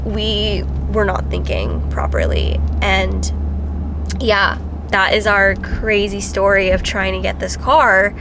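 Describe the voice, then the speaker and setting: A woman's voice: medium pitch, background noise. Congested woman vlogging casually. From Philippines. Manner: chatty, lots of "like" and "um". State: congested from a cold. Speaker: travel vlogger. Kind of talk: casual vlog talk to camera. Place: driving around and outdoors in summer.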